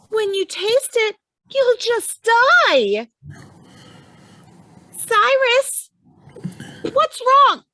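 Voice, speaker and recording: A woman's voice: extremely high pitch, bright, forceful tone, no echo, exaggerated voice. A woman playing a sugary, doting wife in a radio drama, cooing and gushing. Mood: concerned.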